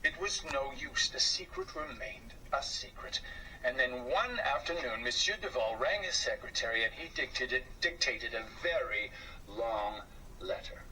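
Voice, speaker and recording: A man reading a children's book aloud as a storyteller, expressive and dropping to a hush at times, heard on a distant mic with faint background noise. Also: mid-range voice, unhurried.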